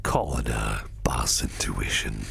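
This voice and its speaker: A man playing a sinister, flirtatious crime boss in an intimate, breathy, half-whispered ASMR roleplay, teasing and silkily menacing. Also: fairly bright tone, heavily acted. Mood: silky approval. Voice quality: very deep, gravelly, breathy. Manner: slow, silky, menacing, teasing.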